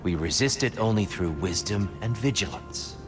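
A wise warrior god from a video game, acted by a man. His voice is on the low side, measured and articulate, offering philosophical counsel. Music plays clearly behind him. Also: animated, close to an everyday voice. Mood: calm counsel. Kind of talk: dramatic game acting.

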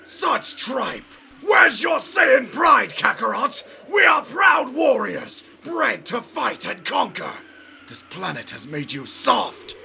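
An arrogant alien warrior villain in a cartoon parody. His strained voice sits very high and crackles with heavy gravel, often rising into shouts. He sneers with haughty, hot-tempered sarcasm. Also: big pitch swings, takes it slowly, exaggerated voice. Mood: haughty contempt. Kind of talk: exaggerated anime parody dub acting.

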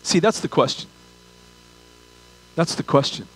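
A man's voice: a little high, animated, measured pace, a bit bright, husky, rough recording. An older man preaches as a pastor, talking conversationally and repeating phrases and rhetorical questions for emphasis. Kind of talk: sermon. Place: large church auditorium stage.

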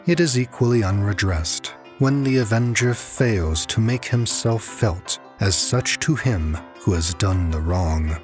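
Low-voiced man, dramatic narrator. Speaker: audiobook narrator. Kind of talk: dramatic scripted gothic narration.

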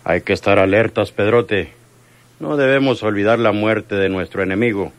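Actor as a cold, low-voiced crime boss. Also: male voice, normal speed, no echo, natural voice. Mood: cold, commanding. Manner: commanding, cold. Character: ruthless, wary crime boss of a nightclub. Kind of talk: radio drama acting.

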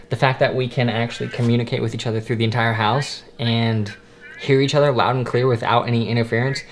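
A man in his twenties giving a casual gear review as a video host. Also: medium pitch. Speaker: video reviewer, event shooter. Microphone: headset intercom mic.

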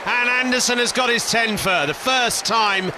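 A man's voice: very high-pitched, normal speed. A man commentating live on cricket, exclaiming as wickets fall. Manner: excitable, exclaiming over wickets. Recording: commentary over loud crowd and stadium noise.

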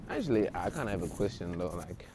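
A man's voice: sits low, big pitch swings, fast talker. A young man in a casual selfie vlog, joking and boasting in street slang as he walks around outdoors, talking into a wired earphone mic. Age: young adult. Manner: joking, swaggering, self-mocking street slang. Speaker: vlogger. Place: outdoors on city streets and inside a shop. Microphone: wired earphone mic, handheld phone from below. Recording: loud street noise from traffic.

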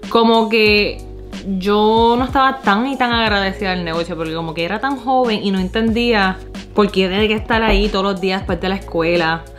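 Young Puerto Rican woman chatting over music. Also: mid-range voice. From Puerto Rico. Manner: informal, slangy, switches into English words. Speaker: vlogger. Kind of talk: casual chat, personal storytelling. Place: living room at home.

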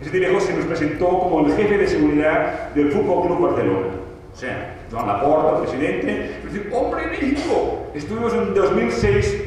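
A man in his forties talks to an audience from behind a panel table, spinning a story with animated asides. His voice sits a little high and lands a few feet from the table mic. The room is roomy and echoing, with a long tail on each word and a faint hiss underneath. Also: moderate pace, recorded from a distance. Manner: animated storytelling with wide hand gestures and asides. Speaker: author presenting his book. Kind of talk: lecture-style talk to an audience. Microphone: table mic on a stand.

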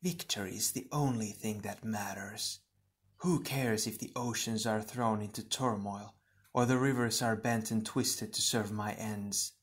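A man voicing a cold, ruthless female water shadow villain in a hushed, slithering, disdainful way. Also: on the low side, unhurried, lightly acted.

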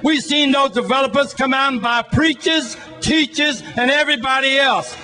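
A man's voice: very high-pitched, fairly even intonation, moderate pace, sharp tone, faint music behind. A man, giving a militant, folksy, improvised protest speech outdoors into a microphone. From Brooklyn, New York. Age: 70s. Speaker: community activist at a rally. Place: outdoor city rally stage. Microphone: handheld microphone.

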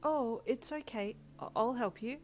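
Woman voicing a bright, high-pitched, earnest teenage boy. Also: steady tone, fast, lightly acted. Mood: bright, eager. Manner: bright, gentle, encouraging.

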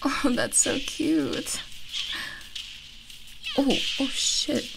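Playful gamer girl best friend, creaky voice, acted by a woman. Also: high voice, lively intonation, very slow, music in the background, exaggerated voice, poor audio. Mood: competitive, teasing. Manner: teasing, giggly, chatty. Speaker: ASMR roleplay performer. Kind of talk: ASMR roleplay, casual one-sided conversation.

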